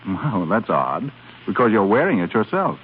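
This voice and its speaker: Suave, swooping 1930s radio suitor. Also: male voice, on the low side, big pitch swings, normal speed. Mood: teasing, admiring. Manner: smooth, teasing, with hesitant throat-clearing. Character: suave, teasing male admirer, secretive and ardent. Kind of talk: 1930s radio drama acting. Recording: tinny, noisy 1930s radio broadcast.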